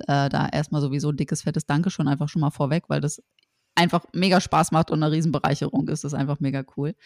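A woman's voice: low-pitched, dry recording, clean studio sound. A woman from Berlin hosting a podcast interview, chatty and upbeat, full of fillers and restarts. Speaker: podcast host. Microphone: remote call, host side.

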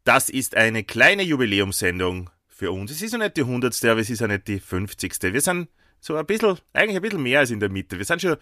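A man with an Austrian German accent co-hosting a casual podcast, a little hoarse from a sore throat, talking in a chatty, playful way with plenty of fillers. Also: mid-range voice, big pitch swings, fairly quick, bright, forceful tone, dry recording, clean studio sound. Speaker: podcast co-host.

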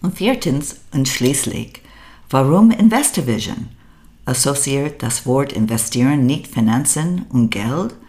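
An older American woman with an American accent, a native English speaker, hosting a podcast in a measured, scripted monologue. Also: very deep voice, animated, a little mellow. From United States. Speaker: podcast host.

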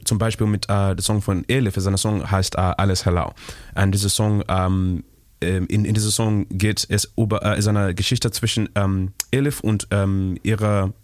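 A man's voice: lowish voice, a little mellow, no echo, studio quality. Shona-speaking man searching for words. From Zimbabwe. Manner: halting, searching for words, frequent restarts, laughs often. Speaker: musician guest. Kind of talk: interview answers in learner German mixed with English.

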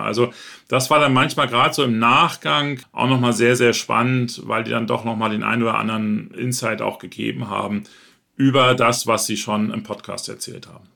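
A man's voice: medium pitch, creaky, sharp tone. A man from northern Germany, a podcast host answering interview questions in a chatty way, thinking aloud as he talks. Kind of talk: relaxed conversational interview.

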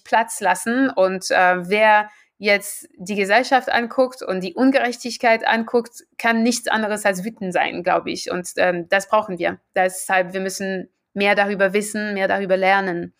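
A woman's voice: medium pitch, fairly bright tone. A woman with a French accent, a native French speaker, answering as the expert guest in a podcast interview, earnest and building to emphatic repetition.

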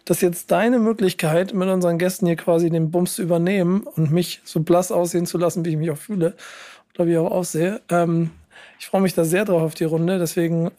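Higher-voiced man, hoarse podcast host. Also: mellow. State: hoarse and run-down, under the weather. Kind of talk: casual podcast talk.

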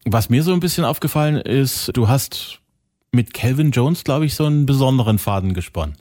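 A male interviewer asking questions in a relaxed chat, often repeating words as he searches for them. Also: medium pitch, fairly quick, no room sound, clean studio sound. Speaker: radio interviewer.

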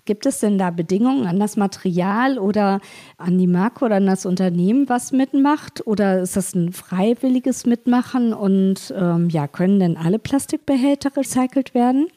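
A woman's voice: medium pitch, moderate pace, warm tone, no echo. Upbeat woman hosting a podcast. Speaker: podcast host and PR lead. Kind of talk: business podcast interview.

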